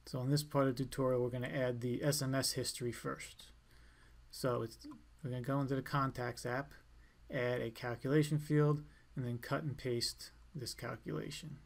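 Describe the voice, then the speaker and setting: A man giving an informal step-by-step software tutorial in a plain, instructional way. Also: medium pitch, level tone, moderate pace, vocal fry. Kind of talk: step-by-step screencast walkthrough, informal.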